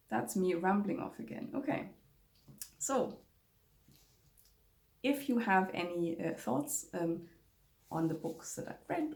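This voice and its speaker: A woman's voice: medium pitch, vocal fry, dark tone. A young woman whose first language is German, chatting casually to camera about books, rambling with many fillers and restarts. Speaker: book vlogger. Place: home room with bookshelves. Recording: echoey room.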